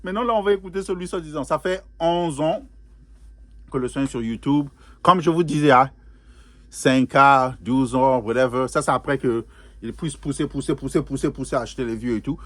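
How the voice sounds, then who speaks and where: A man reacting to music in a loose, talkative way, circling back to the same phrase again and again and tossing English words into his sentences. He laughs easily as he goes. His voice sits at an ordinary middle pitch for a man. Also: normal speed, a bit bright. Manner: chatty, repeats phrases, drops English words into French; laughs often while talking. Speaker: music reaction video host. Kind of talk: casual spoken reaction commentary.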